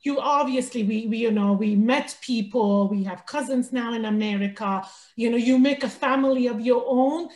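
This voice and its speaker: A woman who grew up in Iran, a guest author on an interview podcast, telling stories in an animated way with many "you know"s. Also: on the high side, normal speed, hardly any pauses. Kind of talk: casual interview chat. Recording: echoey room.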